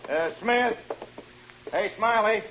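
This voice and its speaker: Bright-toned, practical real estate man, old radio. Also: high-pitched, takes it slowly, exaggerated voice. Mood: puzzled, calling out. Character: practical, steadying male real estate agent. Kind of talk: 1950s radio drama acting. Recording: noisy, dull vintage radio recording.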